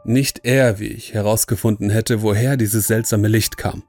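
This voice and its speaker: Low, dark-voiced male horror narrator. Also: fairly even intonation, normal speed, soft background music. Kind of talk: scripted horror story narration, first person.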